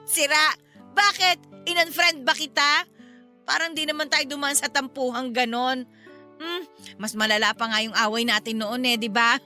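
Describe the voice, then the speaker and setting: A feisty middle-aged Filipino mother in a radio drama. Her voice is high and very bright, frank and scolding yet teasing, with light music underneath. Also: steady tone, measured pace.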